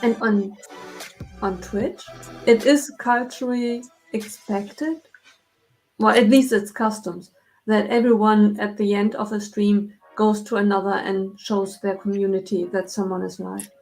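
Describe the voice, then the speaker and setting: A woman co-hosting a livestream chat, speaking slowly with many hesitant fillers. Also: medium pitch, steady tone, vocal fry, darkish tone, soft background music, poor audio. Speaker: co-host.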